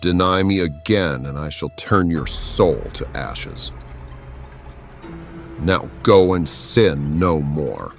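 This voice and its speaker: Man voicing a slow, deep, menacing medieval cardinal. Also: animated, faint music behind, full character voice. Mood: cold menace. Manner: slow, commanding, pompous. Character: menacing, all-seeing medieval cardinal with godlike arrogance. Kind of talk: dramatic audiobook character acting.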